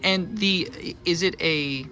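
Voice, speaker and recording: Guy instructor talking thru questions carefully, bright voice, bit of music behind him. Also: sits high, takes it slowly. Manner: inquisitive, checks his understanding step by step. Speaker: instructor interviewing students. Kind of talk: conversational questioning. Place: electronics lab, indoors.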